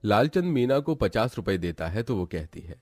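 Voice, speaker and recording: A man's voice: fairly low pitch, very animated, moderate pace, darkish tone, no echo, studio quality. A man as narrator, reading a scripted cartoon story.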